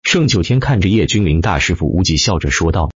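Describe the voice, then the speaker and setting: Husky male storyteller with swooping intonation. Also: low-pitched, expressive, a little fast, no echo, highs cut off. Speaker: audiobook narrator. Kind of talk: scripted dramatic narration of fantasy web novel.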